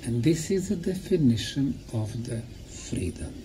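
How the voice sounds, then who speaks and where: A man addresses listeners in a public talk, with a mellow, soft-edged tone. He speaks slowly and deliberately, setting out short emphatic phrases with pauses between them. Some background noise runs under his voice. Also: medium pitch, poor audio. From Bosnia. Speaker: lecturer. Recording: clear steady background noise.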